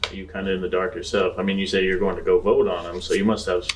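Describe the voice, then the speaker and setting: A man's voice: low-pitched, little pitch movement, fast talker, close to an everyday voice. A man playing a wry, skeptical space adventurer with a hesitant, dry drawl, recorded on a distant mic. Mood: polite, hesitant. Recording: distant mic, clear background noise.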